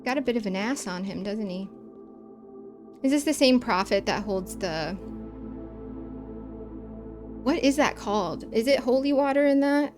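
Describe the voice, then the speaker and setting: A woman's voice: on the high side, animated, moderate pace, over music. A woman from the American Midwest with a Chicago accent, chatting casually while streaming a game, with long vowels and careful over-enunciation.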